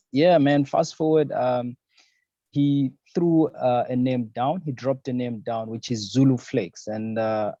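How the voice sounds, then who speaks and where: Young Zambian-born designer guest, easygoing and filler-heavy, mellow dark tone, dry muffled lo-fi recording. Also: male voice, mid-range voice, no room sound. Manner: easygoing, many fillers and "you know, man". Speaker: fashion designer, podcast and radio host. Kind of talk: relaxed interview answers. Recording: dull lo-fi sound.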